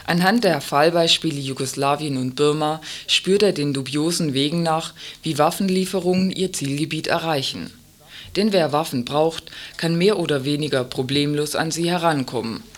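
A man's voice: fairly high pitch, fairly bright tone. A man reads a scripted magazine report as a radio presenter.

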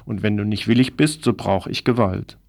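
Creaky-voiced man reading political commentary. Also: on the low side, normal speed. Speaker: radio news commentator. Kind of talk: scripted political news commentary.

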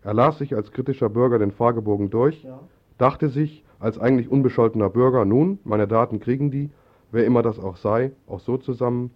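A male radio presenter with a mid-pitched voice, moving between announcements and scripted narration. The sound is dull and lo-fi, like a 1980s radio recording. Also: a little fast. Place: radio studio.

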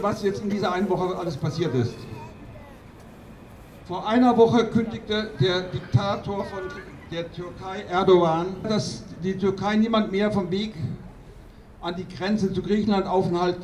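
A man delivering a political speech at a protest rally in an emphatic, accusatory, declamatory way with deliberate phrasing. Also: high-pitched, darkish tone. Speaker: activist doctor speaking at a protest rally. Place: outdoor rally. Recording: distant outdoor mic with clear noise.

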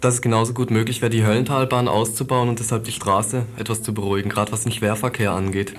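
A man's voice: fairly low pitch, little pitch movement, fast. A man conducting an informal radio interview, asking probing questions in a conversational, attentive way.

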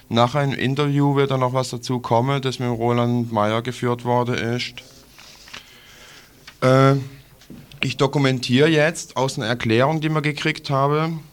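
Southwestern German man, mid-pitched radio presenter. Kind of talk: informal news report, partly reading from documents.

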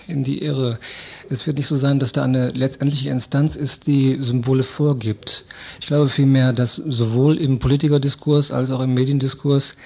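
Mellow, creaky-voiced man explaining hesitantly. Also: medium pitch. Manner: hesitant, deliberate, academic phrasing. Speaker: interviewed expert on media and political discourse. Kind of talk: explanatory radio interview. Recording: dull, lo-fi radio recording.

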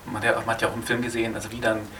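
A man's voice: on the low side, flat delivery, very fast, fairly bright tone. A man moderating a film Q&A, asking spontaneous questions in tentative, searching phrases. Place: film festival screening venue. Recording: distant, noisy live event recording.

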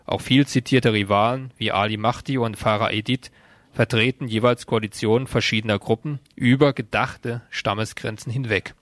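A man's voice: mid-range voice, a bit bright, no room sound. A man presenting a radio news magazine, reading prepared text and informal announcements.

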